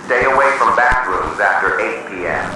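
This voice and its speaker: A man reading a scripted horror emergency announcement in a solemn, measured, official manner. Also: fairly low pitch, lively intonation. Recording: distant, heavily echoing, noisy emergency broadcast.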